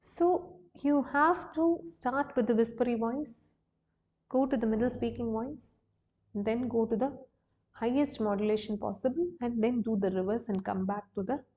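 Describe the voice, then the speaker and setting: Female singing instructor, Indian accent, slightly high voice, unhurried step-by-step delivery. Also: dark tone, muffled recording. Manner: explanatory, step-by-step. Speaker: singing teacher and host. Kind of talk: instructional lesson with sung demonstrations.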